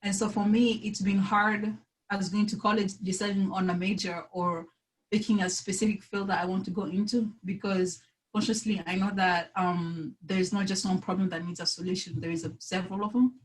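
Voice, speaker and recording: A woman's voice: mid-range voice, steady tone, fairly quick, poor audio. Young Congolese-born woman, searching phrasing. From Democratic Republic of the Congo. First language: French. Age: early 20s. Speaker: panelist, university student. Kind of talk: personal account in an online panel discussion.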